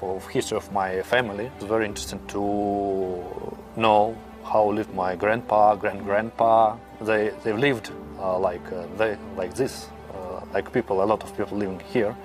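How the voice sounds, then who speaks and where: A young man with a Russian accent answering interview questions in halting, searching English with many pauses. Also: lowish voice, steady tone, music in the background. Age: young adult. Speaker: interviewee, new immigrant.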